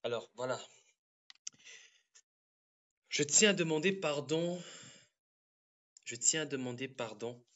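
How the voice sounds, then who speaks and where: A man's voice: a little high, lively intonation, fairly bright tone, lo-fi sound. Congested man. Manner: halting, repetitive, apologetic, many fillers. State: has a cold, congested. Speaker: live streamer. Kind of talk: social media live stream.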